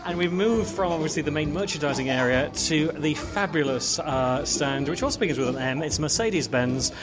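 A man's voice: a little high, fairly quick. A man hosting a live outdoor radio broadcast, interviewing people in an effusive, wordy way with flowery phrasing. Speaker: radio host and roving interviewer. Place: outdoor showground at a truck festival. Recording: outdoor live event with music behind.

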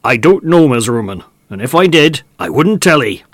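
A man's voice: mid-range voice, sing-song, moderate pace, no echo, studio quality. A man voicing a stubborn, pleading old male farmhand who speaks in a broad country dialect.

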